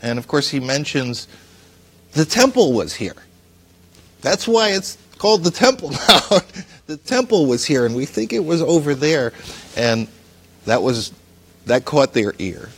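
A man's voice: a little high, sing-song, unhurried, long pauses, slightly rough. An American man in his sixties, telling stories conversationally as an interview guest. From New Jersey, USA. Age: 60s. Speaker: talk show guest, news editor.

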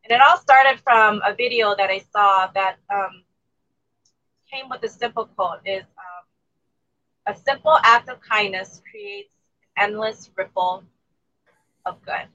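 A woman from Thailand who grew up in the U.S., speaking as an interview guest with an American accent. She is soft-spoken and trails off at the ends of phrases. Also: animated, a little slow, long pauses. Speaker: guest, community organizer. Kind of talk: interview answers. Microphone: low-level remote mic. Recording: dull low-level remote mic.

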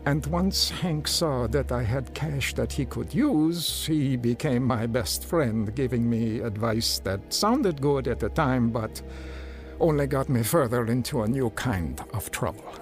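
A man's voice: medium pitch, animated, natural voice. An actor playing an earnest, naive young immigrant workman in a radio drama. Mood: rueful. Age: young adult. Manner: hesitant, halting broken English in dialogue. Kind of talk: radio drama acting and first-person narration. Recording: music bed behind voice.

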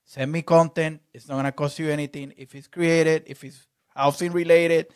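Halting man, higher voice. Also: level tone, darkish tone, smooth. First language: Spanish. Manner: halting, repeats words. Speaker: podcast host. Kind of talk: casual interview chat.

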